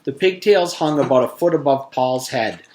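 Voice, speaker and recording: A man's voice: medium pitch, expressive, normal speed, a little mellow, a little hiss or hum. A man, a teacher, reading a storybook aloud.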